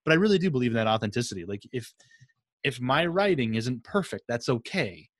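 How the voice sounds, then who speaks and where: A man's voice: mid-range voice, big pitch swings, a little fast, dry recording. A man hosting a podcast interview in an informal, rambling, affirming way. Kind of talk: casual conversational interview.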